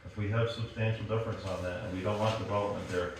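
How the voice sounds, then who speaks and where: A man's voice: on the low side, steady tone, fast, noisy background. A man at a town planning meeting, arguing his point firmly. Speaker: planning commission member. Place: echoey town office meeting room. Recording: echoey room from far off.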